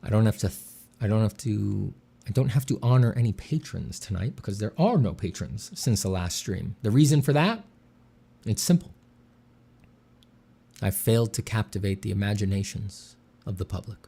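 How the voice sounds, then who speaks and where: A man streaming while he draws, chatting casually with dry deadpan jokes and a slight slur from a sore mouth. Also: lowish voice, moderate pace, gravelly, slightly rough. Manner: dry deadpan joking, relaxed and rambling. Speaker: artist streaming a drawing session. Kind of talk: casual livestream chat.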